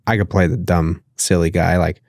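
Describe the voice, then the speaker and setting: A man's voice: low-pitched, fairly even intonation, normal speed, gravelly, darkish tone, no echo, studio quality. A man with a nasal voice, talking loosely as a podcast guest, with lots of fillers and casual swearing. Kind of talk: casual interview conversation.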